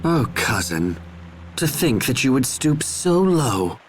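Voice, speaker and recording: An actor playing a stern, proud young military officer in a video game, formal, cold and dutiful. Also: male voice, medium pitch, sing-song, slow, husky, heavily acted. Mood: coldly threatening. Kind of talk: dramatic video game voice acting.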